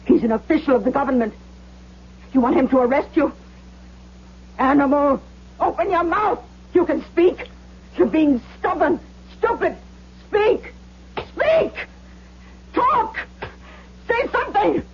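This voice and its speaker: Muffled radio drama, bullying middle-class-less worn mother, husky rough voice, scolding, emphatic, desperate. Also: fairly high pitch. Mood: furious, bullying. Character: harsh, bullying, desperate working-class German mother of grown son. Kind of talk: 1970s radio drama acting. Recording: muffled old radio broadcast.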